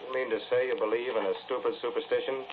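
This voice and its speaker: A man plays an incredulous, alarmed skeptic in a 1940s radio drama. His mid-pitched voice sounds narrow and phone-like on the old recording. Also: fairly even intonation, fast, close to an everyday voice. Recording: thin, lo-fi 1940s radio recording, faint noise.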